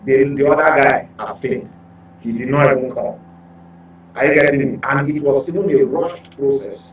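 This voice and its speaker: A man's voice: mid-range voice, steady tone, normal speed, poor audio. A man with a Nigerian accent giving opinionated current affairs commentary as a journalist, speaking emphatically, repeating points and asking rhetorical questions.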